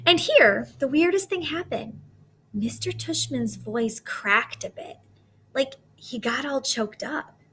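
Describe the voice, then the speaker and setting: A woman reading a children's novel aloud in an expressive storytelling manner. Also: high-pitched, takes it slowly, a little hiss or hum. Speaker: audiobook-style reader.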